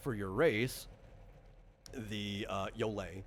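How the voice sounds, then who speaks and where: A man's voice: lowish voice, slow pace. Guy casually explaining his tabletop game, kinda rambly, keeps correcting himself, noisy background. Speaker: game designer and host. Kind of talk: casual explanatory chat during tabletop character creation. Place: online voice call recorded on computer. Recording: clear background noise on voice chat.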